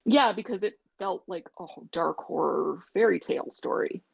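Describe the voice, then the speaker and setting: Woman, lowish pitch, gravelly, casual book chat, dull recording. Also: expressive, normal speed. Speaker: book club member. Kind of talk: casual chat about books.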